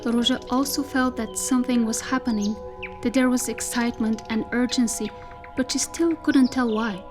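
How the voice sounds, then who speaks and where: A woman narrating a dystopian tale as a solemn storyteller, in measured, scripted literary style. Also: a little high, steady tone, lightly acted. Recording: music behind the voice. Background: music.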